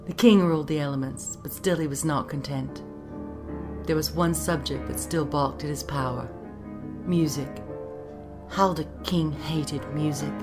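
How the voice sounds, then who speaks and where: Low-pitched female storyteller. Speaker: storyteller, narrator. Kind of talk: scripted fairy-tale narration over music. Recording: narration over background music.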